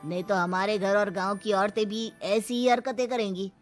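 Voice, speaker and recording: A woman voicing an older, authoritative village man with a slow, declamatory delivery in a moral story. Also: mid-range voice, steady tone, soft background music, close to an everyday voice. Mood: warning.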